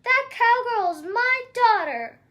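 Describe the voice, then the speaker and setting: A woman's voice: extremely high pitch, animated, slow pace, a bit bright, voice pushed up, full character voice. A woman voicing a feisty, protective ranch mother in a storybook, folksy and scolding. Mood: fierce.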